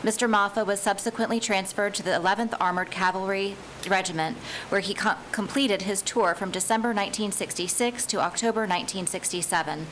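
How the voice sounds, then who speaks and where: A woman county commissioner reading a formal proclamation in a measured, steady way. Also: medium pitch, slight background noise, rough recording. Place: county meeting chamber.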